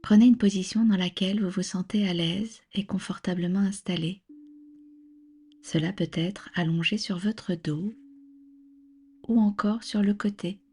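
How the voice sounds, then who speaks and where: Soothing breathy lady doing guided relaxation, gentle and measured, husky soft voice w music behind. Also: mid-range voice, fairly even intonation. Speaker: yoga nidra instructor.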